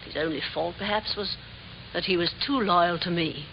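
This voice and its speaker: A woman acting in a 1950s radio drama as an imperious, loyal head of a theatre company, crisp and formal. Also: on the low side, normal speed. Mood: composed, guarded. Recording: muffled, echoing, hissy old radio recording.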